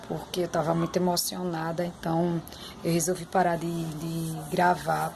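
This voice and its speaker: Hoarse, heartfelt woman talking to viewers. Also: fairly low pitch, darkish tone, a little hiss or hum. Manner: heartfelt, chatty, addresses viewers directly. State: slightly hoarse. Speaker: vlogger. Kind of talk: emotional personal vlog.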